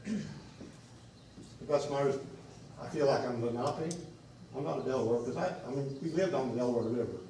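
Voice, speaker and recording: Earnest man in a booming hall. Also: mellow, slight background noise. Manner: earnest, deliberate, repeats himself. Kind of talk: public meeting remarks. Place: large meeting room. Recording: echoey meeting hall from far off.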